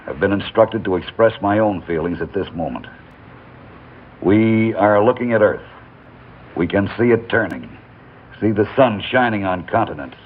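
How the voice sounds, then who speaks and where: An actor playing a calm, steady male spacecraft commander in an old radio drama, giving clipped orders. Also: fairly low pitch, natural voice. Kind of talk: 1950s radio drama acting. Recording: tinny old radio broadcast with faint hiss.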